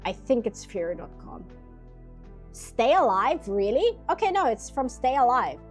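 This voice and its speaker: A woman's voice: on the high side, big pitch swings, unhurried, soft background music. Nasal woman chatting while she paints. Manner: rambling, chatty asides, frequent "like" and "um". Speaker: streamer painting live. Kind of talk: casual chat while drawing.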